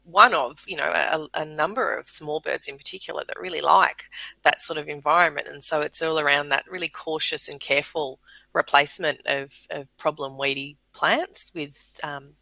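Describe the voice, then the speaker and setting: An Australian woman explains things as a radio guest, in a bright voice with gravelly fry. The sound is dry and close, and she often repeats words and leans on fillers. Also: sits low, fairly even intonation, moderate pace, no room sound, poor audio. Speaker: bird conservation guest. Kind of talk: casual radio interview.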